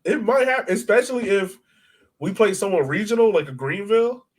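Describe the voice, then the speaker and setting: A man's voice: high-pitched, fairly quick. A man co-hosting a sports podcast in casual, informal, enthusiastic fan chat. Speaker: podcast co-host.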